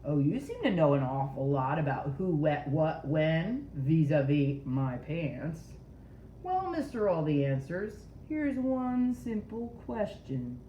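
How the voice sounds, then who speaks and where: A woman playing an indignant, melodramatic storybook fellow, wildly swooping pitch. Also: sing-song, takes it slowly, dark tone, full character voice. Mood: sarcastic, accusing. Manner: accusing, melodramatic, sarcastic. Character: indignant, self-important male storybook character accusing his friends. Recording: roomy echo, dull sound, faint noise.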